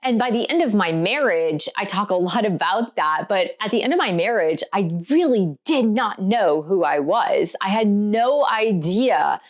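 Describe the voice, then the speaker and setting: Slightly hoarse woman vlogging. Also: mid-range voice, animated, unhurried, hardly any pauses. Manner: candid, reflective, confessional. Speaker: author and vlog host. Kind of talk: personal vlog talk to camera.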